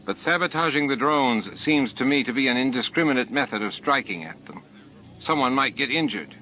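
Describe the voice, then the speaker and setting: A man's voice: mid-range voice, expressive, a little fast, a little hiss or hum, pitched above the performer's own voice, natural voice. A man voicing a cautious, principled male alien rebel worker in an audiobook. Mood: restrained anger. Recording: dull lo-fi audiobook tape.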